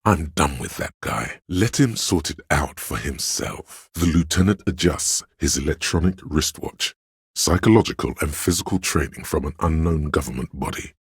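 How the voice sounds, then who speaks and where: A man playing a solemn, all-knowing inner-voice narrator in a video game, with a gravelly, husky voice and a measured, literary delivery. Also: a bass voice, lively intonation, no echo, studio quality, full character voice. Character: omniscient, solemn inner-voice narrator of police camaraderie. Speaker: voice actor narrating a character's inner voice.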